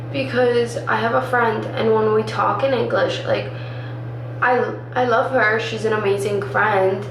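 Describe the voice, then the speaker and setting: A twenty-year-old woman, an architecture student, chatting casually in a rambling way while doing her makeup, filling her talk with "like.". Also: mid-range voice. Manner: rambling, filler-heavy with lots of "like". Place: indoors, doing makeup in a room. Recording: echoey room, distant mic, background noise.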